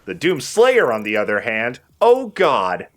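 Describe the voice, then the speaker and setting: A man's voice: medium pitch, wildly swooping pitch, a little slow, fairly bright tone. A man hosting a let's play, giving casual commentary on a video game.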